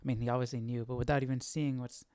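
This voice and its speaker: A man's voice: mid-range voice, level tone, quick pace, darkish tone, a little hiss or hum. A man hosting a Bible study livestream, commenting casually and thinking aloud in an informal way. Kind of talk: casual live commentary on a reading.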